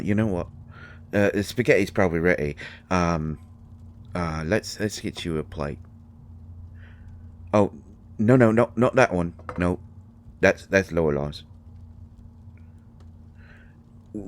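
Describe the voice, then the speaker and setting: A man playing a shy, kindly mushroom fairy in a roleplay audio drama, speaking haltingly with folksy, ungrammatical phrasing. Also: low-pitched. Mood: awkward, curious, then flustered. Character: shy, kindly male mushroom fairy living in magic library. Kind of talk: scripted roleplay audio drama addressed to the listener.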